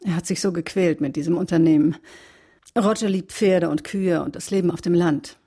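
A woman's voice: lowish voice, moderate pace, warm tone, husky. A woman playing a cool, intense, devoted wife in a radio crime drama, earnest and controlled.

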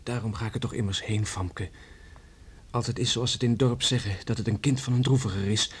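An actor playing a kind, dutiful young village man in a radio play, speaking earnestly with a husky voice. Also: on the low side, steady tone, a little fast. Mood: grave, gentle. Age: young adult.

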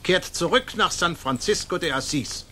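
Stern, forceful nobleman. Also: high voice, lively intonation, normal speed, slight background noise. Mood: resolute. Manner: emphatic, declamatory. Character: stern, honourable nobleman, an influential father. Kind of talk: German radio drama acting.

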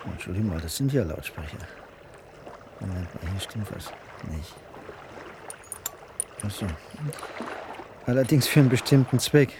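An actor playing an obsessed, resolute whale researcher and diver in a German radio play. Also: male voice, lowish voice, expressive, normal speed, long pauses, noisy background. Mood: tense, secretive.

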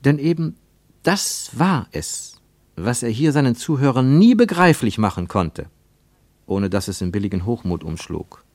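A man reading scripted literary narration for radio, with measured, rhetorical and emphatic phrasing. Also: mid-range voice, big pitch swings, darkish tone, no room sound.

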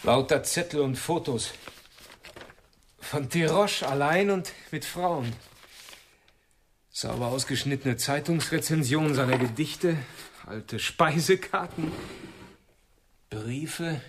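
A man's voice: a little high, takes it slowly, pauses often, slight background noise. A man acting in a radio drama as a sardonic, casual police detective. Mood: sardonic, matter-of-fact. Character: sardonic male police detective rummaging through papers.

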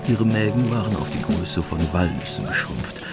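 Deep-voiced male radio drama narrator. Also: very animated, moderate pace. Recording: music behind.

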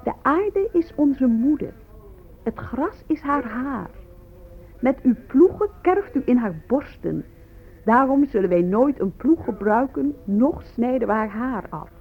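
An actress playing an enthusiastic, flippant woman who collects texts, talking excitedly in a radio drama. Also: high-pitched, measured pace. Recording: tinny, phone-like old radio recording.

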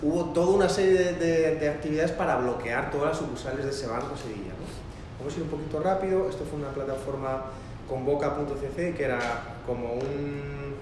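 A man's voice: on the high side, fairly quick, hardly any pauses. A man teaching a workshop in a loose, rambling lecture style, with a mixed Spanish and Argentine-sounding accent and many restarts. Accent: mixed, part Argentine-sounding Spanish. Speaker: workshop instructor. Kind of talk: classroom lecture. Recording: very echoey room with noise.

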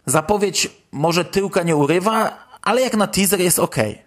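A man's voice: high voice, normal speed. A man hosting a podcast, presenting news with informal personal commentary. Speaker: podcast host.

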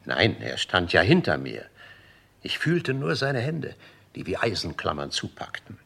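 An actor playing an older, formal manservant in a German radio crime drama, deferential and deliberate, with a husky, gravelly voice. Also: male voice, deep voice, huge pitch swings, sharp tone, exaggerated voice. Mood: earnest, uneasy. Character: dutiful, formal old-school manservant of a murdered gentleman.

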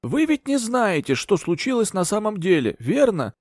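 A man's voice: sits high, sing-song, slightly rough, no echo, studio quality, pitched above the performer's own voice, heavily acted. A man doing a feverish scheming old servant woman. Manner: rapid, sly, taunting.

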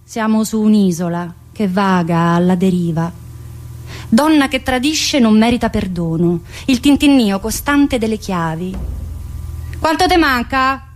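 A woman's voice: mid-range voice, lively intonation, a little slow. A woman performing a dramatic monologue in short, declamatory fragments, like a list of remembered phrases. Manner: clipped, declamatory phrases, shifting between voices. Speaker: writer and solo stage performer.

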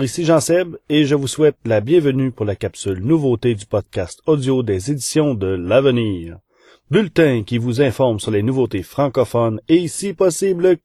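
A man's voice: medium pitch, mellow, no room sound, studio quality. A man with a Quebec French accent presenting a semi-scripted podcast news segment in an orderly, formal way.

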